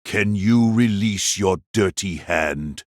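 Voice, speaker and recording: A man's voice: deep voice, slow pace, no room sound, clean studio sound, voice pushed down. A man playing a confident, sardonic, battle-hungry young warrior in an audio drama, drawling and self-assured. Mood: cocky.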